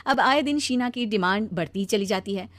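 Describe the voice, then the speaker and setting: A woman's voice: medium pitch, fairly quick, a bit bright, dry recording. A woman narrating a scripted cartoon story as its storyteller.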